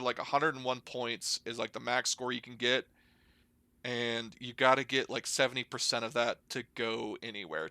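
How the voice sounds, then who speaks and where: A young American man with a bright, forward voice, recorded close and dry. He talks casually, as if explaining things to a friend. His delivery is informal and rambling, with plenty of slangy hedging. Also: medium pitch, dry recording. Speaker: podcast guest, air traffic controller. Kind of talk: casual conversational storytelling and explanation.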